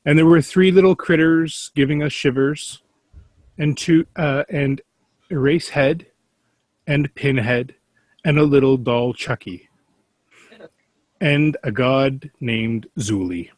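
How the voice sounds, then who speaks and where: Unhurried man reading a bedtime parody. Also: mid-range voice, a little mellow, no echo. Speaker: podcast panelist.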